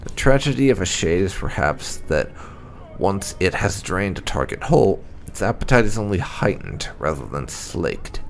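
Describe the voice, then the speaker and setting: A man reading game lore entries aloud as scripted narration, in a formal, measured, deliberate way. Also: low voice, big pitch swings, vocal fry, a little hiss or hum. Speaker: gaming channel host.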